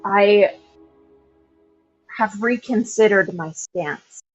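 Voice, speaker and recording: Husky, slow-speaking woman player. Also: medium pitch, highs cut off, faint music behind. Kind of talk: in-character roleplay and table talk.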